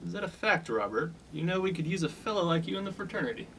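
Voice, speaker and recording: A man's voice: high voice, sing-song, fast talker, vocal fry, pushed and bright, slight background noise, exaggerated voice, poor audio. A man playing a cocky, blunt young fraternity upperclassman with a chip on his shoulder in a comic radio play. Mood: brusque. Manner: blunt, slangy. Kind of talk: 1950s-set comic radio play acting.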